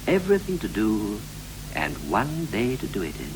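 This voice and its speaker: An actor playing a restless, wistful husband in a 1960s radio play, speaking in clipped, rhythmic, theatrical phrases. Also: male voice, on the low side, huge pitch swings, moderate pace. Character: John, restless husband wistfully recalling youth and marriage. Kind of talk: 1960s BBC radio play, poetic stylised dialogue. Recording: 1960s radio recording with hum, hiss.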